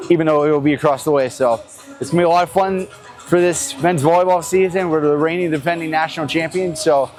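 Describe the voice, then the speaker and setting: Higher-pitched man hosting a casual tour. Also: a little mellow. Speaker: show host and tour guide. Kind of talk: casual on-camera tour and interviewing. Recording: music behind the voice. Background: music.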